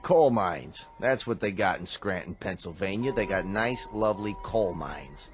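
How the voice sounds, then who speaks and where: An actor playing a wisecracking bartender in a radio drama, dry and sardonic. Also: male voice, low-pitched, lively intonation, unhurried. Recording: radio play with music underneath.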